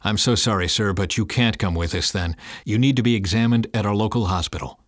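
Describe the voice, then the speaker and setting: A man's voice: lowish voice, a little fast, dry recording, studio quality, close to an everyday voice. A man voicing a firm but respectful paramedic in an audiobook, speaking with courteous urgency. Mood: apologetic, official. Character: firm, respectful male paramedic at a crash scene.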